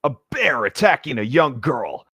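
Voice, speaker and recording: A man's voice: medium pitch, sing-song, moderate pace, sharp tone, slightly rough, no echo, clean studio sound, exaggerated voice. A man voicing a proud, hungry teenage half-shark hero in mock-dramatic story narration. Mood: mock-earnest brooding.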